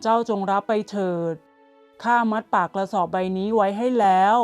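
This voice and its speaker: A woman's voice: medium pitch, steady tone, moderate pace, faint music behind, lightly acted. Woman doing a shrewd middle-aged homeowner. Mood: calm stern. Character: shrewd middle-aged man, house owner. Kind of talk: storybook character voice.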